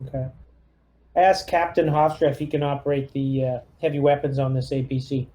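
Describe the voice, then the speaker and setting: A man's voice: medium pitch, little pitch movement, fairly quick, warm tone. A man playing a tabletop roleplaying game, giving orders in an easygoing, casual chat style.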